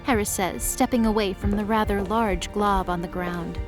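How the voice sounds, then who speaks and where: A woman's voice: medium pitch, steady tone, moderate pace. A woman reading scripted present-tense story narration as the narrator of an audio drama. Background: background music.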